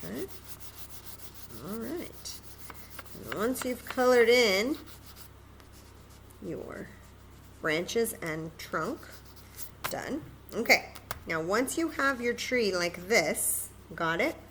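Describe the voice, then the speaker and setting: A woman's voice: a little high, animated, very slow, pauses often, a bit bright, a little hiss or hum, rough recording. A woman hosting a children's story time, patiently and encouragingly demonstrating a craft step by step in simple, casual words.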